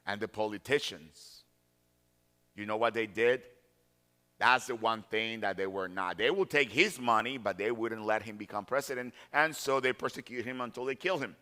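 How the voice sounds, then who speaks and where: Pastor, male, 40s; bright, forceful tone, emphatic repetition. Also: lowish voice. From Colombia. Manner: deliberate, emphatic repetition of key phrases. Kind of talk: sermon.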